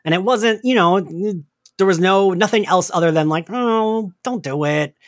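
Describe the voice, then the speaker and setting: A man's voice: high-pitched, moderate pace, no room sound, clean studio sound. A man hosting a podcast in a casual, chatty and emphatic conversational way. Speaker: podcast host. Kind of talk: casual discussion.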